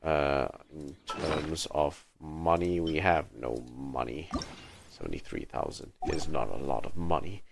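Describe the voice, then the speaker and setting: A man's voice: a bass voice, animated, measured pace, creaky, background noise. A man livestreaming a video game with casual commentary, thinking aloud in short remarks. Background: game music.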